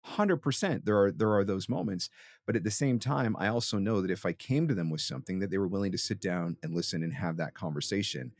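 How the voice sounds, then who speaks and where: A man's voice: low voice, animated, fast talker, dry recording. A man hosting a podcast, giving conversational advice emphatically, with rhetorical questions and frequent check-ins. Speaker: podcast host and voice-over coach.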